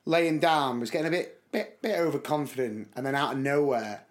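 A young man with a slightly hoarse voice, co-hosting a podcast and telling stories in casual chat, laughing often. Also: medium pitch, fairly quick. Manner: chatty storyteller, laughs often. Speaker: podcast co-host.

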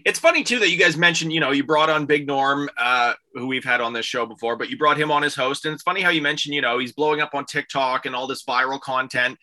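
Bright-voiced man hosting a chat. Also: mid-range voice, fast talker, hardly any pauses. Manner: rambling, enthusiastic questions with many fillers. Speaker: podcast host interviewing comedians. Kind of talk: casual interview chat.

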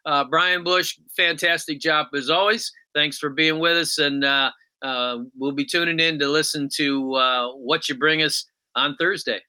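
A man's voice: fairly high pitch, fairly even intonation, measured pace, bright, forceful tone, clean tone. A man hosting a sports podcast in a casual conversational style. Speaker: podcast host.

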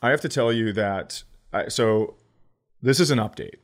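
A man's voice: mid-range voice, moderate pace. A man co-hosting a comedy podcast, bantering casually in a wry, teasing way and swearing now and then. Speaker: podcast co-host.

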